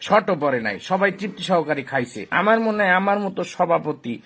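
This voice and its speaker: A man playing a boastful, impatient village mosque president, bossy and husky. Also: sits high, lively intonation, a little fast, fairly bright tone, heavily acted. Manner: bossy, self-important. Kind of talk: comedy skit argument.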